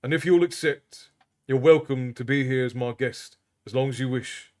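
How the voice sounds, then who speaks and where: A man voicing a composed, authoritative prince who speaks calmly and low. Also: normal speed, close to an everyday voice. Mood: commanding. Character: composed prince, commanding and wry.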